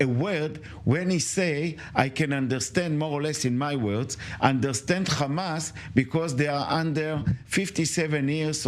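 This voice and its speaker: Emphatic man with an Israeli accent. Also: a little high. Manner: emphatic, halting non-native English. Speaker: studio commentator. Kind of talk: news panel commentary.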